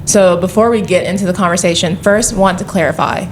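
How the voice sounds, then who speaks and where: A young woman from Atlanta hosts a casual podcast. She talks in a friendly, rambling way with a slightly low voice. A faint hiss sits under her voice. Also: fairly even intonation, a little fast. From Atlanta, Georgia, USA. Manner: friendly, chatty, talks in loose run-on thoughts. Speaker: podcast host.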